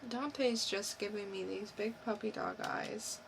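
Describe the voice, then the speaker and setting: Unhurried woman, casual podcast co-host. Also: mid-range voice, vocal fry, slight background noise. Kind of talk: casual chat.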